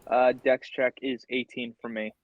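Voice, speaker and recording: A man with a medium-pitched voice plays a tabletop game through a dull microphone. He talks casually, both as himself and in character. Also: little pitch movement, moderate pace.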